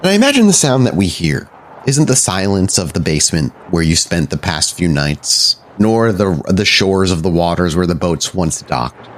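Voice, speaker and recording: A man hosting and narrating a tabletop role-playing podcast as storyteller, moving between casual chat and cinematic scene-setting. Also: low voice. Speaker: storyteller and host.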